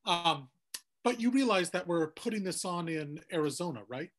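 A middle-aged man moderating in a scripted comic exchange, hesitant and halting. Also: sits high, animated, a bit bright, slight background noise. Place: indoor room at night.

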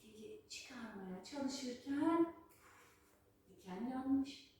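A woman's voice: high voice, animated, extremely slow, dark tone, a little hiss or hum. A woman telling a children's folk tale as a storyteller, with rhythmic, rhyming, theatrical delivery. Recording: very echoey space, distant microphone.

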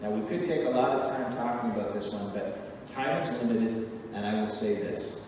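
Earnest middle-aged pastor in an echoey hall. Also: male voice, mid-range voice, background noise. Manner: earnest, emphatic preaching with measured pauses. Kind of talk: sermon. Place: church sanctuary, at a wooden pulpit. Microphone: pulpit mic, recorded from a far camera. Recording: echoey church hall from far off.

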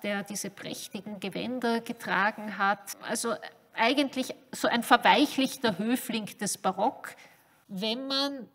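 A woman's voice: mid-range voice, gravelly, fairly bright tone. A woman speaking as an expert commentator, explaining spontaneously in interview style. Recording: reverberant room.

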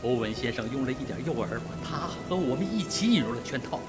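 An actor in a radio drama playing an anxious, worn-out doctor, nervy and distrustful. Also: male voice, mid-range voice, expressive, fast, loud music behind the voice, natural voice.

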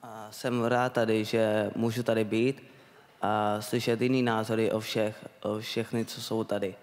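A young man from the Czech Republic speaks haltingly on a conference panel. His voice is a little low with a very even pitch, and it echoes through a big hall. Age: young adult. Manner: hesitant, halting, repeats words. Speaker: self-advocate and panel speaker. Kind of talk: halting spontaneous panel remarks. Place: on stage in a large conference hall. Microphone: handheld microphone. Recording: reverberant conference hall sound.

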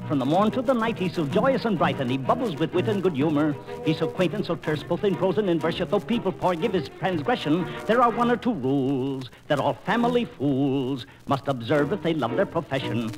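A witty, weary court jester played by a man from a 1940s radio operetta. The voice is high and highly animated. The delivery is theatrical, rhythmic and archaic. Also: a little fast, few pauses, music in the background, natural voice, rough recording. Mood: jaunty, wry. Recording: 1940s radio transcription disc.

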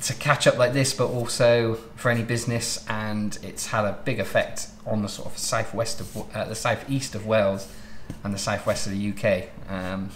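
Chatty low-voiced male host. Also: fairly even intonation, moderate pace, few pauses, a bit bright, roomy sound, a little hiss or hum. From Southwest England. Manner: chatty, explains at length. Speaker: interview host, wedding photographer. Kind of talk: casual interview. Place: home studio office, indoors.

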